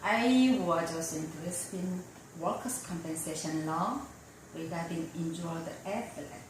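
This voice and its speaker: A woman attorney whose first language is Korean, answering interview questions in slow, careful, somewhat formal English. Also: on the low side. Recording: roomy, distant mic with faint noise.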